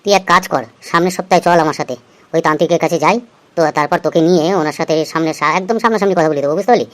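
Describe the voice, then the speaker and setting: Casting: male actor as thirties husband for comedy cartoon, quick delivery, chatty, thrifty, coaxing and teasing. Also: a little high, close to an everyday voice. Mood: encouraging. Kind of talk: amateur comedy cartoon dialogue.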